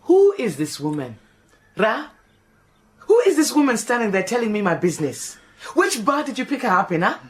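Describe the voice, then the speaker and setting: A woman's voice: lowish voice, very animated, a little slow. A woman playing a proud, indignant housekeeper. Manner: theatrical, indignant, wailing. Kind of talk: radio drama acting.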